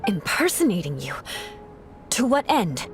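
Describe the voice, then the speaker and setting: A woman's voice: medium pitch, big pitch swings, measured pace, music in the background. A woman playing a stern, haughty young female knight in a video game, imperious and formally worded. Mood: skeptical. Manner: imperious, crisp formal wording.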